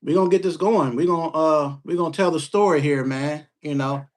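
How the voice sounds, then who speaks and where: A man's voice: fairly high pitch, brisk. Laid-back slangy podcast host man. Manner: laid-back, slangy, frequent shout-outs. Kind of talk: casual interview chat.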